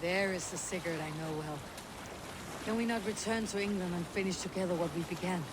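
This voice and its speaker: Guy voicing a steadfast viking warrior, kinda high male voice, earnest n grave, game cutscene, noisy sea behind. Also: fairly quick, close to an everyday voice. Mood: loyal, resolute. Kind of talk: dramatic video game cutscene acting. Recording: loud sea and wind noise behind.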